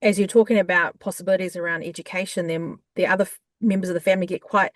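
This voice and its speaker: A woman with a New Zealand accent, a guest in an interview, talking in a warm, chatty way with frequent fillers. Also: lowish voice, steady tone, fast, no room sound, clean studio sound. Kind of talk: conversational interview.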